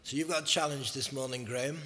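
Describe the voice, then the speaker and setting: A man preaching a sermon in a church, slightly hoarse, in a measured style with scripture readings. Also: mid-range voice, steady tone, bright, forceful tone, a little hiss or hum. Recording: long hall reverb.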